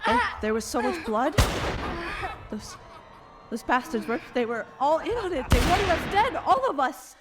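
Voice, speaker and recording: A woman playing a frightened but defiant noblewoman in an audio drama, with a halting, stammering, anguished delivery. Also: sits high, very animated, heavy background noise. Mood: grief-stricken. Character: distraught revived noblewoman, mother, frightened and defiant.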